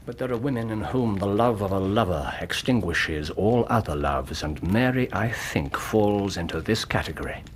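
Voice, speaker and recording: An English actor in a radio drama playing a brilliant, self-assured detective who lays out deductions in precise, theatrical storytelling. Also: male voice, fairly low pitch, expressive, moderate pace, hardly any pauses, a little hiss or hum, natural voice. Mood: explanatory, assured.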